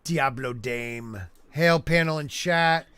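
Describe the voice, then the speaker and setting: A man hosting a podcast in casual chat, loud and joking, reading out names from the chat. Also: on the high side, slow, a crowd in the background. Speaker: podcast host.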